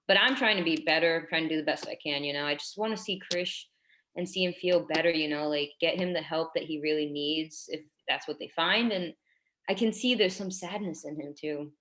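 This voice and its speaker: A woman's voice: sits low, moderate pace, a bit bright. A woman playing an earnest, tactful schoolteacher in her twenties in a staged drama reading, speaking hesitantly and delicately. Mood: earnest, confiding.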